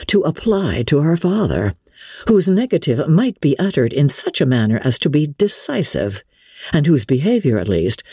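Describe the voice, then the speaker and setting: A woman giving a measured, dramatic narration of classic literature. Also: very deep voice, warm tone, husky, no echo, studio quality. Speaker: audiobook narrator.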